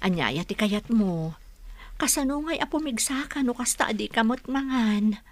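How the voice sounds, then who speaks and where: A woman's voice: a little high, expressive, unhurried, background noise. A woman playing a doting mother in a radio drama, with a Filipino accent. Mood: fretful. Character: doting mother coaxing her small child.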